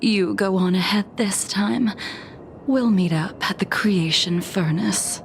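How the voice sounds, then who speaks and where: A woman playing a cold, composed, ageless swordswoman in a video game, speaking in a measured, softly teasing way. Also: medium pitch, creaky, a little hiss or hum. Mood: cool, challenging. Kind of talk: dramatic video game voice acting. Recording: loud game music behind.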